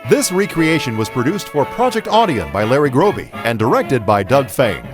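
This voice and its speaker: A man's voice: medium pitch, big pitch swings, moderate pace. Male announcer, vintage radio style, hugely varied intonation over prominent music. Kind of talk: 1950s radio announcing, scripted credits.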